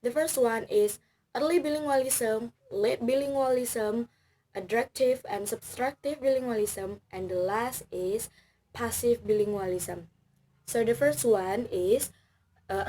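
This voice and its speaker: A woman's voice: medium pitch, dark tone, a little hiss or hum, rough recording. A young woman from Bali, Balinese native speaker with an Indonesian accent, giving a class presentation in careful, hesitant speech with many fillers and self-corrections. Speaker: student presenter.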